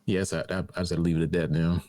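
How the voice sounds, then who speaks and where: Low-voiced male co-host, rapid slangy casual talk, dry close mic. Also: level tone, warm tone, no room sound. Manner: loose slang-heavy talk full of fillers. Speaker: podcast co-host. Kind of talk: casual chat.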